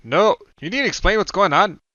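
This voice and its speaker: A gruff older farmer and father , voiced by a male storyteller, who scolds loudly in a raised, lively voice. His tone is bright and forceful. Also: medium pitch, a little fast, no echo, highs cut off, voice pushed up, full character voice. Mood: alarmed.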